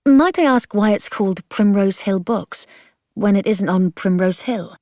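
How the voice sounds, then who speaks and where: A woman's voice: medium pitch, unhurried, dark tone, no echo, clean studio sound, pitched above the performer's own voice, close to an everyday voice. A woman voicing a polite, earnest, slightly nervous young woman.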